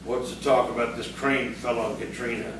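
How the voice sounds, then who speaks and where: A man acting in audio theatre as a jealous, hot-tempered country bully and scheming ringleader, gruff and blustering. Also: medium pitch, level tone, brisk, close to an everyday voice. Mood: brooding, suspicious. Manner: gruff, blustering, rustic phrasing. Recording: echoey, distant, noisy stage recording.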